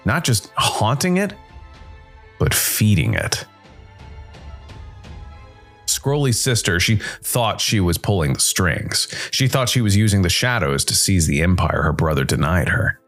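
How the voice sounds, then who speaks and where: Man playing a world-weary noir investigator, deep voice, brooding dramatic narration, deliberate pauses, music underneath. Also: a bit bright, full character voice. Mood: ominous, grave. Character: world-weary supernatural noir detective, male jazz musician working for Death. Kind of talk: scripted first-person noir story narration.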